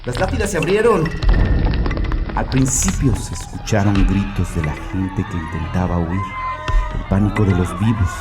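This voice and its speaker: An actor playing a tormented, fanatical murderer in hiding, in a solemn, brooding audio drama monologue. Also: male voice, lowish voice. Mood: agitated, fearful. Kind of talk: dramatic first-person audio story monologue. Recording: echoey, distant, music behind.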